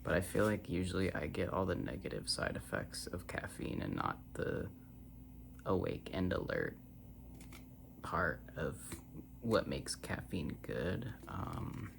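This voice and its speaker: Very creaky, soft-spoken ASMR rambler. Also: low voice, sing-song, measured pace. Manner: casual, meandering, trailing off into near-whispers. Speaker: ASMR content creator. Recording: noisy recording with tapping and humming. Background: hum, tapping and scratching sounds.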